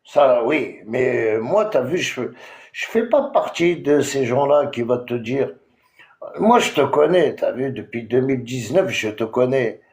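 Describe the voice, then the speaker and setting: A man's voice: medium pitch, measured pace, a little mellow, reverberant. Heated man ranting live. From Algeria. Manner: confrontational, repetitive, full of hesitations. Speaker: TikTok live host. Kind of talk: heated live-stream rant.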